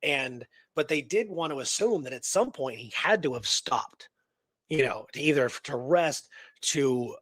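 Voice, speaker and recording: A man co-hosting a show, casually discussing a historical mystery in a halting, rambling way that repeats words. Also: a little high, dry recording. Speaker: co-host of a radio history show.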